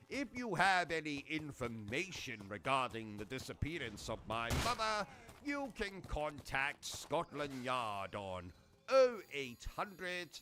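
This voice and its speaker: A man with a British accent playing a pompous, rude, deranged posh gamer who hosts a gameplay stream and talks in a haughty, mock-grand way with rude outbursts. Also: fairly high pitch. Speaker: gaming streamer persona. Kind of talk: comedic gameplay commentary.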